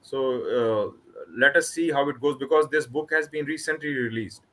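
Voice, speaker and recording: A man's voice: on the high side, a bit bright. A man from Kashmir with an Indian accent, an author chatting casually and often restarting his sentences. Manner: halting, with many restarts and repeated words. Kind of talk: casual online book club chat.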